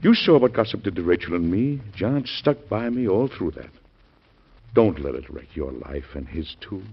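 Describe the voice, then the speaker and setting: A grieving, forceful president, husky, deep, swinging pitch, old-time radio drama, dull vintage recording. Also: male voice, lively intonation, moderate pace, exaggerated voice. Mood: indignant. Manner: impassioned, commanding. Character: grieving forceful male U.S. president, middle-aged. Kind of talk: classic radio drama acting.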